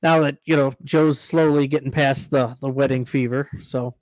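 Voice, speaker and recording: A man's voice: medium pitch, fairly even intonation, moderate pace, darkish tone, dry recording, poor audio. A man co-hosting a podcast, telling stories in a rambling casual way. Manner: rambling storyteller, lots of restarts and laughs. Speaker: podcast co-host. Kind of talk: casual chat.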